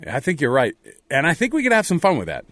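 A man's voice: on the high side, wildly swooping pitch, fast, fairly bright tone, full character voice. An actor playing a humble, friendly man recovering from life on the streets, in an audio drama. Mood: grateful, playful.

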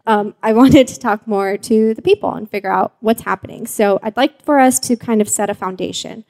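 A woman's voice: medium pitch, moderate pace, darkish tone. A woman from Mexico hosting a live panel in a casual, chatty, giggly and informal way. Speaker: host and journalist.

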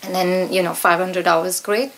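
A woman's voice: lowish voice, level tone, moderate pace, fairly bright tone, poor audio. A middle-aged woman with an Indian accent, talking conversationally as an interview guest. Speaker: guest, yoga teacher.

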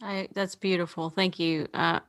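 A woman's voice: fairly low pitch, level tone, normal speed, a bit bright. A woman of forty hosting a casual interview in an effusive, appreciative way. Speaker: podcast interviewer.

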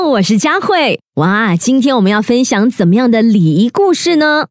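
A woman's voice: high voice, no room sound, studio quality. A woman hosting a children's story podcast, telling tales expressively and speaking directly to young listeners. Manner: animated, addresses children directly with questions. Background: children's music.

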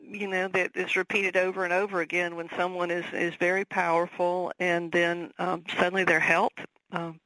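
A woman's voice: lowish voice, flat delivery, moderate pace, fairly bright tone, no room sound, rough recording. A woman with a Southern accent, an author speaking as a radio interview guest, thoughtful and full of fillers and restarts.

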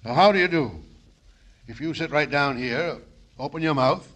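A man's voice: on the high side, moderate pace, fairly bright tone, natural voice. A man playing a scheming fake specialist who gives solemn nonsense diagnoses, in a 1940s radio comedy. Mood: mock-grave. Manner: mock-solemn medical patter. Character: fast-talking fake doctor, scheming and glib. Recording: old radio broadcast.

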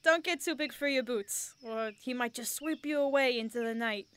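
A woman's voice: a little high, moderate pace, fairly bright tone, smooth, natural voice. An actress playing a folksy, patronizing woman forest officer in an audio drama, friendly with a faint note of warning.

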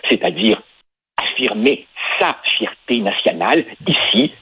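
A man's voice: mid-range voice, animated, measured pace, bright, forceful tone, breathy edge, no echo, poor audio. A man whose first language is Spanish, speaking Quebec French as a passionate radio commentator who repeats words while building his points. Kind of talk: opinion talk on radio.

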